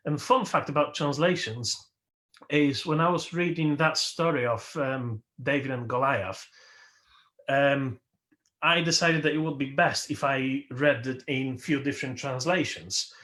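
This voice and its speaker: A man whose first language is Polish, leading a worship service as its host and speaking slowly and reflectively, with emphatic rises, over a video call. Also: medium pitch, sharp tone. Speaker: worship leader. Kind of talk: sermon.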